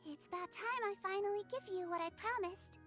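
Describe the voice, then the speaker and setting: A woman voicing a small, gentle, youthful nonhuman girl doctor in a video game, with an earnest, measured manner. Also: very high voice, soft background music. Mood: earnest, grateful.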